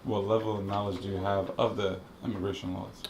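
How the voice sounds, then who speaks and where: A man interviewing students, asking brisk, direct questions. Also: fairly low pitch, level tone. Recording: loud street noise.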